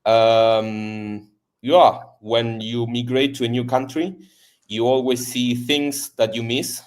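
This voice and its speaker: A man from Argentina with an Argentine Spanish accent, a native Spanish speaker, talking as a podcast guest in a halting way with many fillers and repeats. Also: mid-range voice, level tone. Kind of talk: casual interview chat.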